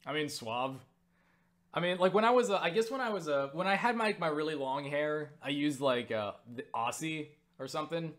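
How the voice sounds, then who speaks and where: A man's voice: on the high side, normal speed, a bit bright. Restless, rambling young man answering questions. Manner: restless, rambling, swears casually, lots of fillers and restarts. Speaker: youtuber answering viewer questions. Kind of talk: casual Q&A vlog.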